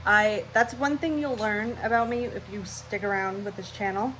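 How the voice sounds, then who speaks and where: A woman's voice: mid-range voice, fairly even intonation, moderate pace, a bit bright, slight background noise, rough recording. Nasal, stuffy-nosed young woman vlogging. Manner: scatterbrained, rambling, chatty. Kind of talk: casual channel intro talk.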